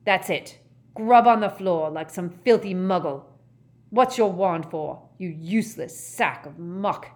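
A woman's voice: fairly low pitch, expressive, takes it slowly, exaggerated voice. A woman voicing a raging, contemptuous middle-aged wizard father who bellows and jeers in an audiobook. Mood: furious bellowing. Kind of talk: dramatised audiobook character voice.

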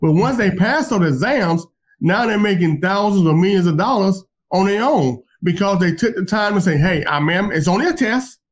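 A man's voice: high voice, expressive, normal speed. A man hosting an interview and giving motivational advice in a lecturing way. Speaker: host. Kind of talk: encouraging interview talk.

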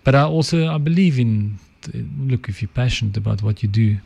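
A middle-aged South African man with an Afrikaans accent, a podcast guest talking calmly and reflectively about his life. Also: mid-range voice, fairly quick, vocal fry, husky. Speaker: guest, advertising entrepreneur and author. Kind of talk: reflective interview talk.

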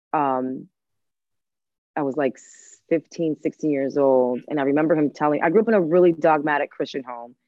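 Low-voiced woman, dull lo-fi audio. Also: no room sound. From born in Cuba, grew up in Washington D.C. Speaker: interview guest, chef. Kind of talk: casual conversational interview.

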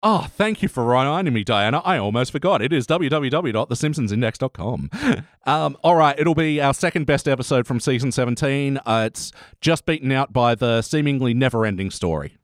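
A man's voice: medium pitch, lively intonation, fairly quick, no echo, studio quality. A man hosting a casual review podcast, chatting in a jokey way and often chuckling. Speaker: podcast host.

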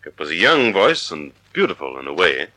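Sure-of-himself sleuth in an old radio play, lowish, crisp edge, lively. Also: male voice, fairly quick, no echo, exaggerated voice, poor audio. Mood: earnest. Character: decisive amateur sleuth, a confident gentleman. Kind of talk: 1940s radio drama acting. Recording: old radio drama recording.

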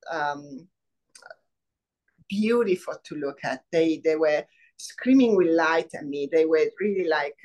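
A middle-aged woman from Rome with an Italian accent, a professor speaking in a casual interview, thoughtful with many pauses. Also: low voice. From Rome, Italy. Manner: warm, thoughtful, many "um" pauses, laughs easily. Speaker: biology professor, guest.

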